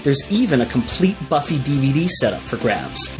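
A man reads a scripted promo in a lively, swinging delivery at a middle pitch. Guitar music plays clearly behind his announcement. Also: moderate pace. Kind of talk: scripted podcast advertisement.

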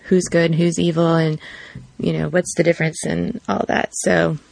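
A woman hosts a podcast in loose, unscripted talk. Her voice is slightly low and heavily gravelled with fry. The sound is dry, with no room around it. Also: flat delivery, normal speed, darkish tone, no echo. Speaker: podcast host. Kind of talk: casual, unscripted introduction.

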